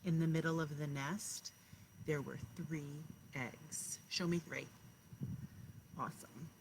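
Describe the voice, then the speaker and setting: A woman kindergarten teacher talking and reading aloud to young children, speaking to them directly and pausing to explain and ask questions. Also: deep voice, steady tone, noisy background.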